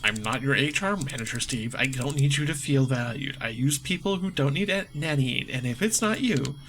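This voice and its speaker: Cold bossy lady commander barking clipped orders, a guy doing her, bright voice. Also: medium pitch, expressive, normal speed, slight background noise, close to an everyday voice. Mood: dismissive. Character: tough female commander, curt and cold.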